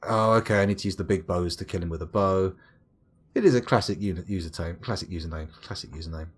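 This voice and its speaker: A man's voice: sits low, quick pace, breathy edge. An English man streaming a game live, rambling and joking as he reads his chat aloud. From England. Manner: rambling, chatty, self-mocking, lively swings into exclaimed bits. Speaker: gaming streamer reading chat.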